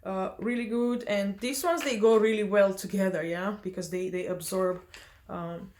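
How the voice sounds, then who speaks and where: A woman's voice: medium pitch, fairly even intonation, normal speed, darkish tone. A young woman from Romania, chatting casually in a vlog, hesitant with many fillers and self-corrections. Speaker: vlogger.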